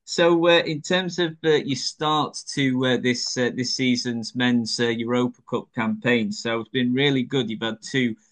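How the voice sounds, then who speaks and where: An English man interviewing an athlete, friendly and pausing often with "uh". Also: medium pitch, fairly even intonation, a bit bright. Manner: friendly, hesitant with many "uh" fillers. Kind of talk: interview questions.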